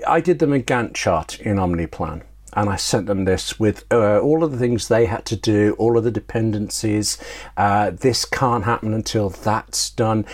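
A man talking casually as an interview guest, telling anecdotes in a wry, self-deprecating way with rambling asides. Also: mid-range voice. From Britain.

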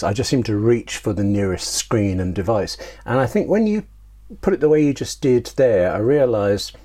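A man's voice: on the low side, a little mellow, slightly rough. A man talking casually as an interview guest, telling anecdotes in a wry, self-deprecating way with rambling asides. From Britain.

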